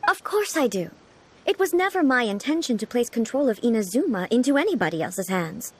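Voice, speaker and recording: An actress playing a solemn, ageless ruler in a video game, speaking in a formal, measured, pondering way. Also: female voice, fairly high pitch, very animated, husky. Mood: solemn, contemplative. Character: solemn, regal, ageless female ruler, earnest and contemplative. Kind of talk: video game character acting. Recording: soft music behind.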